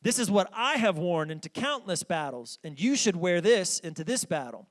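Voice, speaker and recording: A commanding old king presses his gear on a young warrior, as a male preacher acts him out. The voice stays high and light, and the manner is insistent and bossy. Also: very animated, moderate pace.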